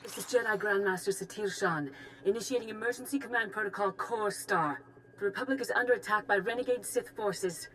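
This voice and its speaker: A woman's voice: medium pitch, fairly quick, a bit bright, slight background noise, lightly acted, poor audio. Woman as an urgent, commanding Jedi grandmaster. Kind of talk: formal emergency broadcast.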